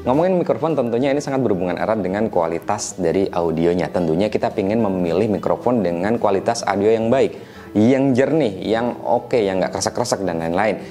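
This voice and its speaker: A man with a low, deep voice that sounds stuffed up and nasal from a cold. He walks through gear tips in an easygoing, conversational way, often tagging his points with small questions. Soft music plays faintly behind him. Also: fairly quick. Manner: relaxed, chatty, frequent tag questions. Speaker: tech reviewer teaching mic setup. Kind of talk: casual explanatory tutorial.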